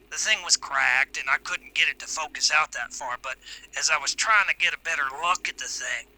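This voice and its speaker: A jumpy old rural loner. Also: male voice, a little high, bright, forceful tone, rough recording. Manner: rustic drawl, uneasy storytelling. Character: jumpy old rural man on his porch. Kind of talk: radio drama acting.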